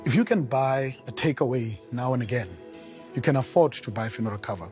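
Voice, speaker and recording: A man's voice: medium pitch, expressive, a little fast. A man reading an advert voice-over, persuasive and emphatic. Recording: music bed under voice.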